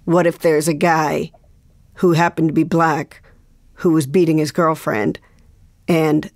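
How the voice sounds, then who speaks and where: A woman's voice: deep voice, little pitch movement, unhurried, darkish tone, no room sound, studio quality. A woman talking reflectively as an interview guest, thoughtful and hesitant in her phrasing. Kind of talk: reflective conversation.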